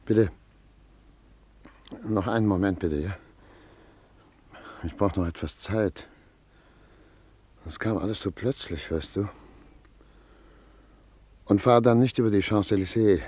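An actor playing a German émigré doctor who calmly foresees war and internment in a radio drama. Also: male voice, fairly low pitch, slow pace, long pauses. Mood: shaken. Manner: subdued, fatalistic, earnest. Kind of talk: radio play acting.

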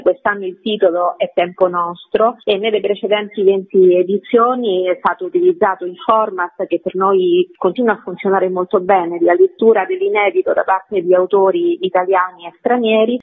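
A woman's voice: medium pitch, breathy edge. A woman interviewed on the radio over the phone as a festival curator, explaining things articulately in long flowing sentences. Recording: muffled narrow phone line.

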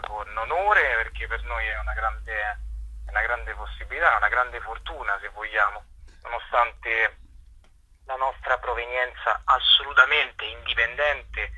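A man, an actor and director giving an informal interview as a guest, expressive and halting as he explains things with homely examples. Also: mid-range voice, husky, rough recording.

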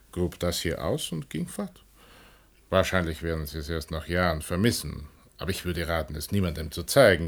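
An actor playing a cautious, wry older uncle in a German radio drama. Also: male voice, deep voice. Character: cautious, wry old uncle smuggling forbidden things.

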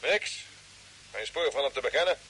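An actor playing an authoritative space expedition commander in an old radio drama, speaking in terse orders. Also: male voice, mid-range voice, lively intonation, normal speed, sharp tone, a little hiss or hum, low-quality recording. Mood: firm, worried. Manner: terse, directive.

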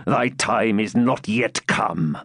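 A man's voice: lowish voice, slow, fairly bright tone, dry recording, clean studio sound, exaggerated voice. A man voicing a fierce, stubborn dying baron who growls out defiant speeches in an audiobook.

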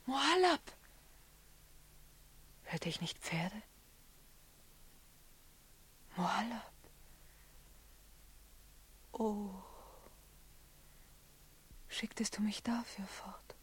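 A woman devoted to a lover who betrays her. Her voice is slightly husky, with poetic, formal diction in the manner of a classic radio drama. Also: on the high side, very animated, extremely slow, pauses often, exaggerated voice. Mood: anxious, hurt. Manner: literary, poetic stage diction. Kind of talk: classic German radio play acting.

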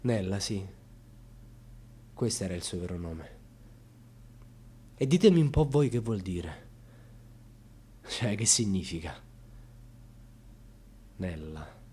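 An actor playing an intense, bitterly ironic male storyteller in a radio theatre monologue, with a husky, dramatic delivery that moves between murmurs and outbursts. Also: sits low, animated, takes it slowly, long pauses, slight background noise. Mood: sardonic, wistful. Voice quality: husky, often dropping into breathy whispers. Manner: dramatic, swinging from hushed murmurs to loud outbursts.

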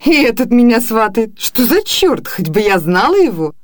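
An actress playing a pert young maidservant in a comedy radio play, saucy and theatrical. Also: female voice, a little high, big pitch swings, moderate pace, no room sound, clean studio sound. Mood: exasperated aside.

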